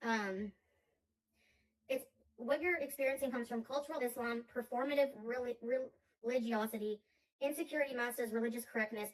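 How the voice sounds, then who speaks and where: A woman's voice: on the high side, far from the mic, poor audio. A woman in a played clip who earnestly reads a reply aloud, with frequent hesitant fillers. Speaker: content creator in played clip. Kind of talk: reading aloud a reply to viewers.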